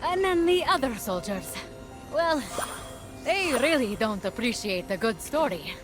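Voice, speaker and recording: A brave young warrior heroine in a fantasy game, with a high voice that swings widely in pitch. She is eager, earnest and expressive, with strong music playing behind her. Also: measured pace. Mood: eager, wondering. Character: Fenyx, eager, brave young female Greek soldier. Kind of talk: video game character acting.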